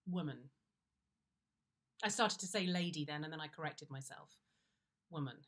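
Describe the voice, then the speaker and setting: A middle-aged British woman reading a comic first-person story in a conversational, wry and confiding way with expressive timing. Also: sits low. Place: indoors, plain-walled room.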